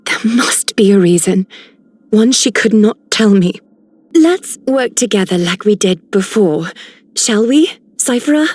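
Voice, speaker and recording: An actress voicing a gentle, solemn, wistful heroine in a video game. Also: female voice, mid-range voice, expressive, measured pace, dry recording. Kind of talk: video game character voice lines.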